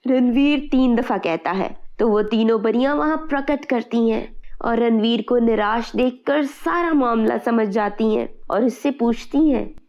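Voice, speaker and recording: Mellow, high-voiced woman storyteller with creaky edges. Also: a little slow, few pauses, lo-fi sound. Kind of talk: scripted children's fairy tale narration.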